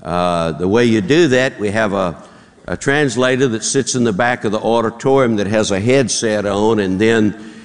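Folksy Southern preacher in a reverberant hall. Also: male voice, lowish voice, moderate pace, clean tone. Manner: folksy storyteller, drawling, acts out voices in jokes. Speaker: pastor. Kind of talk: sermon with folksy joke-telling. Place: church auditorium. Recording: long reverb in a large hall.

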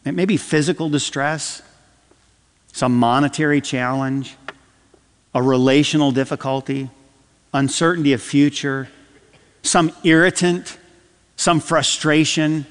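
A man preaching a sermon from the pulpit, swinging between loud emphatic lines and soft, dropped phrases. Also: on the high side, steady tone, unhurried, long pauses. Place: church sanctuary. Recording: long hall reverb.

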